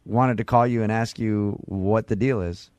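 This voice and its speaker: A man's voice: fairly low pitch, fairly even intonation, normal speed, darkish tone, no echo. Casual male radio host, close dry sound. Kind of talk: morning radio banter and prank-style phone call.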